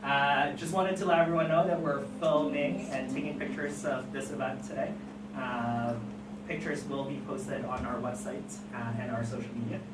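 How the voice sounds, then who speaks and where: An event host with a halting, informal way of speaking, making announcements to open a gathering. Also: mid-range voice, steady tone. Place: indoor event room, recorded from afar. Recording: echoey distant room recording, loud noise.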